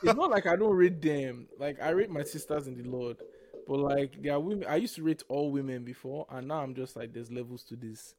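A man's voice: mid-range voice, fairly quick, no echo. Young Nigerian man, dry close sound. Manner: reflective, repeats and restarts words. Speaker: podcast co-host. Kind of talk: casual faith-based podcast chat.

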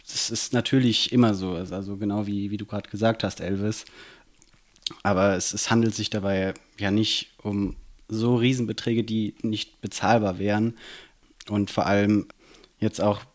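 A man's voice: fairly low pitch, fairly even intonation, moderate pace. A man in his early twenties, a student and new council member, talking casually and often repeating words as he searches for them. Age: 20s. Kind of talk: podcast interview.

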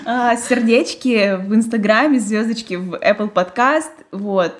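A woman's voice: on the high side, a little slow. Thoughtful, hesitant woman podcaster. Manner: thoughtful, hesitant, restarts often. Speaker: podcast co-host. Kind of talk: casual chat.

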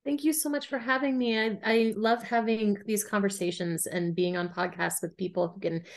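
A woman's voice: medium pitch, fairly even intonation, moderate pace. A woman in her thirties, a runner and podcast guest, telling her story frankly in a casual conversational way over a remote call. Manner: candid, frank, laughs easily.

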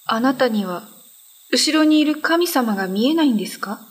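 Gentle captive woman, higher-pitched voice. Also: lively intonation, moderate pace. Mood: gentle, earnest. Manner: polite, earnest, formal speech. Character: gentle, devout imprisoned woman, grateful and weary. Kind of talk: Japanese radio drama acting.

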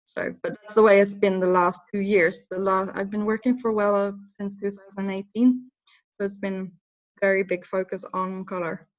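A Norwegian woman in her thirties, her English carrying a Bristol-tinged Norwegian accent, pitched in the middle range for a woman. She talks haltingly and laughs often as an interview guest. The recording sounds dull and lo-fi. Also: flat delivery. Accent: Norwegian mixed with Bristol English. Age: 30s. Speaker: hair and makeup educator.

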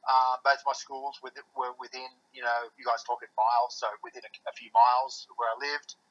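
A man's voice: medium pitch, level tone, a little fast, a bit bright, dull audio. An Australian man guesting on a podcast, chatting casually and rambling with lots of fillers and restarts. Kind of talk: casual chat.

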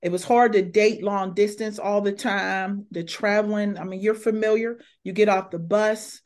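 A woman in her fifties, a minister and former coach, telling her life story in a lively interview and acting out what people said to her. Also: medium pitch, normal speed. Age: 50s. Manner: lively, acts out quoted dialogue.

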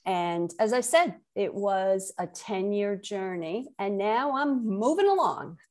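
A woman's voice: medium pitch, lively intonation, takes it slowly, a little mellow. A middle-aged woman with a Brooklyn accent, speaking conversationally as an author and guest in an interview. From Brooklyn, New York.

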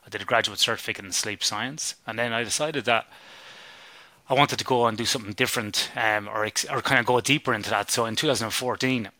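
A man's voice: lowish voice, fast talker, sharp tone, dry recording. A middle-aged Irish man, a sleep researcher, chatting casually as a podcast guest, swearing and laughing easily. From Ireland.

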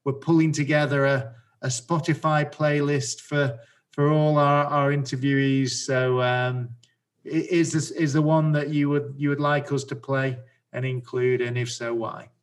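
A man's voice: medium pitch, level tone, measured pace. A man hosting a podcast interview, asking questions while stumbling and repeating words. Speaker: podcast host. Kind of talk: casual interview.

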